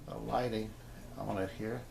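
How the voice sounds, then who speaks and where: A man casually demonstrating shortwave radios in an unscripted, halting way, thinking aloud as he goes. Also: fairly low pitch, steady tone, creaky. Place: indoors beside shortwave radios. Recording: noisy room with radio static. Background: radio broadcasts and static.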